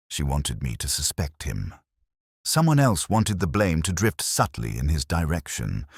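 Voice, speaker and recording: Very deep-voiced man narrating a mystery. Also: no room sound, clean studio sound. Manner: measured, solemn, literary delivery. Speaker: narrator of a detective story. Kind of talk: scripted first-person mystery narration.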